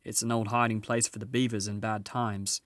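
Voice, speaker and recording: A man voicing an excitable male talking beaver in a children's storybook, exclaiming and calling out. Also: fairly low pitch, normal speed, no echo, studio quality, natural voice. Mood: secretive, practical.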